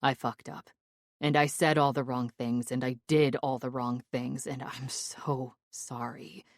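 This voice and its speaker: A woman voicing a remorseful young man in love, speaking low and gravelly in a pleading, apologetic way. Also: normal speed, a little mellow, no room sound. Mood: pleading tender. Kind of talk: audiobook character voice.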